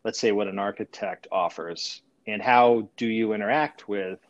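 A man hosting a conversational podcast interview, putting his questions in a slightly halting way. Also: lowish voice, dry recording, muffled recording.

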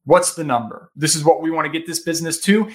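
A man in his thirties with a slightly higher-pitched voice, speaking as a guest on a podcast. He gives practical money advice in an earnest, emphatic way and often checks in with "right?". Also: fast talker. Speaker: podcast guest, financial advisor. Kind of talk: casual podcast interview.

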